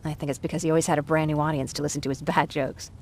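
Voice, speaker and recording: An actress as a young female survivor in a war game. Her voice is on the low side, and she talks in a candid, chatty, naturalistic way. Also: little pitch movement, rapid-fire, darkish tone, natural voice. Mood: wistful, chatty. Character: earnest, talkative young woman, war survivor. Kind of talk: naturalistic video game acting.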